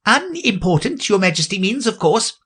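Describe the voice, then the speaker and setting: A man voicing a nervous, fussy rabbit acting as court herald, speaking in a prim, respectful, hurried way. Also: high-pitched, very animated, pushed and bright, breathy edge, voice pushed up, exaggerated voice.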